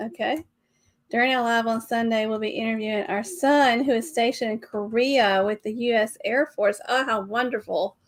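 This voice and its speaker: A woman's voice: fairly high pitch, fairly even intonation, normal speed. A middle-aged woman, hosting a casual live stream and chatting with viewers while laughing often.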